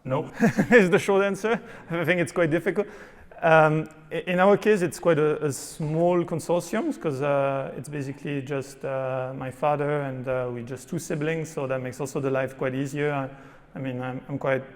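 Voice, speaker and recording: A man answering as a panelist, halting, with many restarts and fillers. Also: on the high side, fairly even intonation. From Switzerland. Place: conference hall on stage. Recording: reverberant conference hall.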